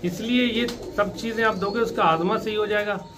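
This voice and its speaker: A man's voice: high voice, fairly even intonation, normal speed, noisy background. A man with a Pakistani Urdu accent, a pigeon keeper giving plain, instructive advice in a casual interview, often repeating his points.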